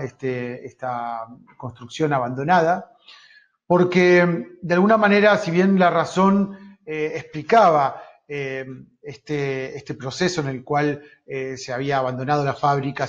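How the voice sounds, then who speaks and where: Argentine man, middle-aged, slow reflective lecturer, slightly high pitch, long pauses, dull video-call sound. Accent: Argentine, Rosario. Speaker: architect giving a lecture. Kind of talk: online lecture on own work. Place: small book-lined room indoors. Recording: dull, lo-fi web-call audio.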